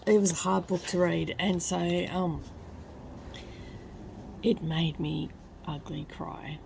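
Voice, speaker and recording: A woman with a croaky voice from crying, talking softly at home in a casual book review vlog. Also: lowish voice, steady tone, slow pace, a little hiss or hum. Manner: hushed, soft-spoken so as not to wake others. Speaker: book vlogger. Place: at home, early morning.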